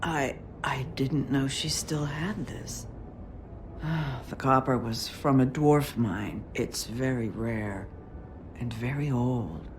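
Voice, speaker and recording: Tough, slow-spoken female bar owner. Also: very deep voice, background noise. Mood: subdued grief. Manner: blunt, guarded. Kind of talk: video game voice acting.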